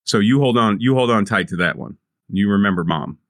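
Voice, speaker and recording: A man's voice: lowish voice, fairly quick, dry recording, clean studio sound. A man playing his character in a tabletop game, talking bluntly and casually with some swearing. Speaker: player.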